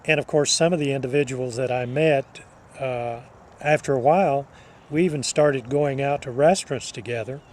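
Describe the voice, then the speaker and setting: An older man telling a personal reminiscence to listeners, speaking in a measured, deliberate way with halting phrasing. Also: mid-range voice, a little mellow. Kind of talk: personal reminiscence told to an audience.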